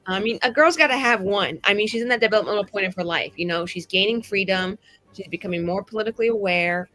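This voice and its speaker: A woman's voice: on the low side, a bit bright. A woman rambling casually and wryly as a player on a tabletop roleplaying stream, in slangy everyday speech. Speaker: player in a tabletop game show. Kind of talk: casual, rambling chat about a game character.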